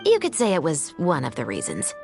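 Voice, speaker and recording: Disciplined young musketeer officer for a video game: fairly low female voice, grave, formal, justice-minded. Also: huge pitch swings, gravelly, over music, full character voice. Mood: composed, approving. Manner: formal, measured, earnest. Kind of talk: scripted video game dialogue.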